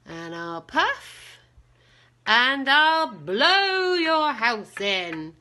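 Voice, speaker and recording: A blustering storybook wolf drawls his threats slowly and loudly, stretching every word, as a woman puts on the voice for young listeners. The voice is bright and forceful, with big swoops in pitch. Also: sing-song, full character voice. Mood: threatening. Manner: slow, drawn-out, huffing threats.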